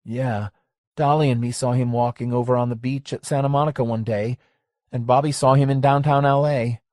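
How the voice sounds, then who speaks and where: A hard-edged, streetwise woman , played by a male audiobook reader, snaps her lines curtly. His voice sits higher than usual, and the sound is dry and close. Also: fairly even intonation, brisk, darkish tone, dry recording, lightly acted. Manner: curt, dismissive. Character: tough blunt streetwise woman.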